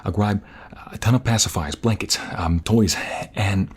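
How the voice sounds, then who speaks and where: A man playing a tender, excitable husband and father-to-be in an intimate ASMR audio roleplay, halting and emotional, often dropping toward a whisper. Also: lowish voice, breathy edge. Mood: excited, fussing. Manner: halting, emotional, repeats himself. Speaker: voice-over roleplay performer.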